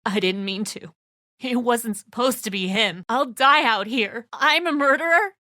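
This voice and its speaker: A woman's voice: a little high, measured pace, bright, forceful tone, no room sound, clean studio sound. An actress playing a tense, suspicious woman survivor of a zombie apocalypse in a video game, blunt and accusatory in manner. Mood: desperate, pleading. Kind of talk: dramatic video game acting.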